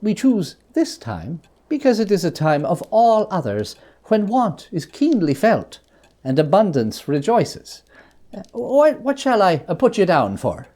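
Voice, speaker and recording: A reader playing a portly, polite gentleman collecting for charity in a storybook reading, formal and hesitant. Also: medium pitch, wildly swooping pitch, a little slow, pitched above the performer's own voice. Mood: earnest, hesitant.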